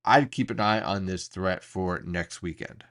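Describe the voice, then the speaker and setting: A man with a fairly low voice gives an unscripted weather briefing. He talks like a forecaster walking viewers through maps, explaining each point with emphasis and deliberate pauses. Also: fairly bright tone.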